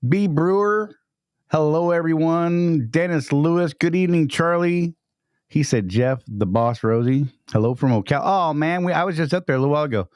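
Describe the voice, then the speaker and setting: A man's voice: a little high, normal speed, a little mellow, no echo, studio quality. Upbeat man hosting a live stream. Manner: upbeat, greets chat viewers by name. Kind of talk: casual live-stream chat.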